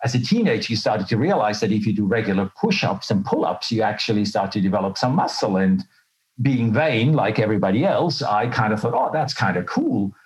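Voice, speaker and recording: A man's voice: lowish voice, expressive, fairly quick, low-quality recording. A middle-aged man from Switzerland telling his own story as an interview guest, candid, colloquial and self-deprecating. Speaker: interview guest, health professional. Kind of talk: conversational personal storytelling.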